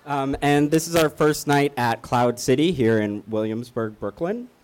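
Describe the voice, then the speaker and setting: A man co-hosting a live show, talking casually as he introduces things. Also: moderate pace. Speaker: show co-host. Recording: faintly noisy live recording, dulled highs.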